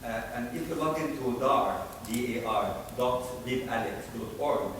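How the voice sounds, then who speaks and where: A man in the audience speaks in a measured, informative way. He is far from the microphone in a very echoey room, with clear background noise. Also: mid-range voice, a bit bright. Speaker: audience member. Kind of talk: conference Q&A comment.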